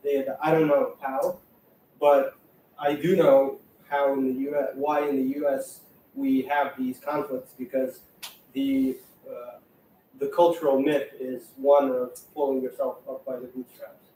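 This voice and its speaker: A man's voice: mid-range voice, a little slow, dark tone. A man thinking aloud with fillers in a casual group discussion. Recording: echoey room, distant mic, faint hum.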